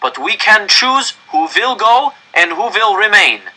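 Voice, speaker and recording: Man acting a desperate director, pitched high and forceful. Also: very animated, measured pace, exaggerated voice, rough recording. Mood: grave, insistent. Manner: loud, pleading oratory in emphatic phrases. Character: desperate male council director addressing an angry crowd.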